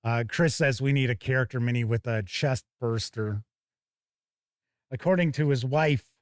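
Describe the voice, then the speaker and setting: A man's voice: mid-range voice, normal speed, dry recording, studio quality. A man hosting a tabletop gaming show, giving chatty, casual live commentary as he looks over products and talks with viewers.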